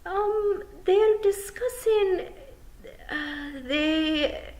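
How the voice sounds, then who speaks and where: A woman's voice: very high voice, very slow, a little mellow, pitched above the performer's own voice, heavily acted. A woman voicing a cheerful, deferential young male pixie with a Scottish accent in a storybook reading. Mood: hesitant, embarrassed. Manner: dialect-heavy, addresses listener respectfully as mistress.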